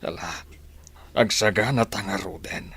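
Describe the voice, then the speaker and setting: A man acting a husky old Ilocano grandfather. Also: sits low, measured pace, pushed and bright, no echo, exaggerated voice. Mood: eager. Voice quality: husky, breathy. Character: older village grandfather, eager and affable. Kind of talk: Ilocano radio drama acting.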